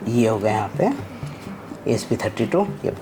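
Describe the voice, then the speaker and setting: A man's voice: lowish voice, big pitch swings, measured pace. A man hosting a casual vlog, chatting informally and explaining things as he works on a hands-on project. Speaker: vlog host and father. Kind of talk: casual chat while doing a DIY project. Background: clear background music.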